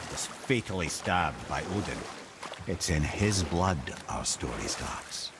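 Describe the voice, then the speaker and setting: An actor playing a wry, learned old Scottish storyteller in a video game, telling tales theatrically. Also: male voice, mid-range voice, background noise.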